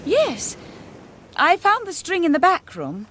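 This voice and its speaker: A woman's voice: very high-pitched, wildly swooping pitch, slow, fairly bright tone, a little hiss or hum, heavily acted. A woman playing a timid, anxious young wife in a radio drama, earnest and apologetic, with a refined English accent. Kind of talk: radio drama dialogue and narration.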